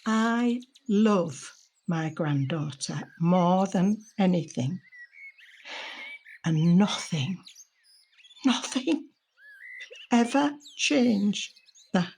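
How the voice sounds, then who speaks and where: A woman playing a gentle, reflective grandmother in an audio drama, speaking in a measured, heartfelt way, encouraging and tender. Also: on the low side, expressive, full character voice. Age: older. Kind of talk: audio drama monologue and dialogue. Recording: noticeable background noise with birdsong.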